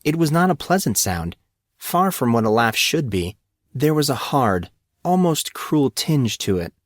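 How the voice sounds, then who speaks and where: A man's voice: mid-range voice, big pitch swings, unhurried, dry recording, clean studio sound. A man reading a story aloud as scripted audiobook narration.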